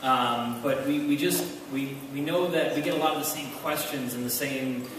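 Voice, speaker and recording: A man from Wisconsin moderating a convention panel, talking in a halting way with many fillers and restarts. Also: medium pitch, background noise. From Wisconsin, USA. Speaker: panel moderator and bar owner. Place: large convention hall. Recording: echoey hall mic from far off.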